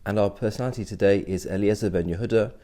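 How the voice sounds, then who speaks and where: Casting: middle-aged male rabbi, low mellow voice, measured and explanatory. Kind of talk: short history talk to camera.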